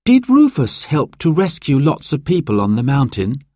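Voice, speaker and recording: A man voicing an excited, curious young child in a storybook, with an eager, wide-eyed manner. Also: a little high, moderate pace, no room sound, clean studio sound, voice pushed up.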